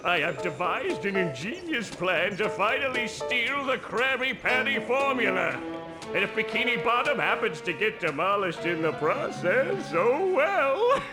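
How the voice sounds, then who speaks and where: A man's voice: sits high, big pitch swings, a little slow. A man voicing a tiny, pompous, evil schemer in a cartoon video game, theatrical and self-important. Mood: gloating. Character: tiny evil male schemer, bombastic, self-pitying mad genius. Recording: loud music bed behind voice.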